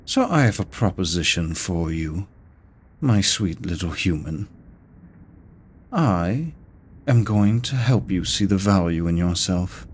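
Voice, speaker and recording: A man's voice: deep voice, expressive, slight background noise. A man voicing a seductive, courteous immortal incubus demon in a roleplay audio drama, speaking to the listener in a silky, theatrical way. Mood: courteous, persuasive. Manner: slow, silky, theatrical, courteous with drawn-out words. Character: seductive, teasing male incubus demon, immortal deal-maker.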